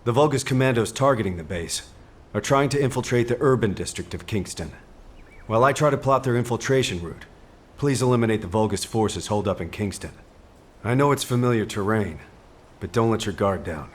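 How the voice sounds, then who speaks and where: A man playing a composed, caring military officer in a video game, giving grave briefings in a measured, formal, earnest way. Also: on the low side, expressive. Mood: serious, focused. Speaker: mission commander giving briefings. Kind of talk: scripted video game dialogue.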